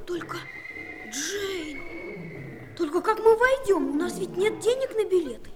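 A woman's voice: extremely high pitch, expressive, slow, a crowd in the background, full character voice. An actress playing a curious, naive, wide-eyed little boy in a children's audio play. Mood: curious, worried. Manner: questioning, naive, exclaiming.

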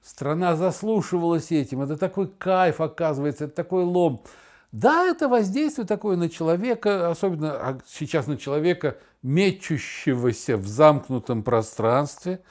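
A middle-aged man with a fairly high voice talks casually as a podcast co-host. He is sardonic and theatrical, slipping into imagined remarks as he tells a story. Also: animated, moderate pace, a little mellow.

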